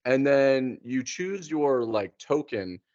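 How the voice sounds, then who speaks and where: A man talks slowly and casually, halting a little as he finds his words. His pitch is average, and the sound is dry and somewhat muffled. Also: animated, a little mellow, no room sound. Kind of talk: casual chat.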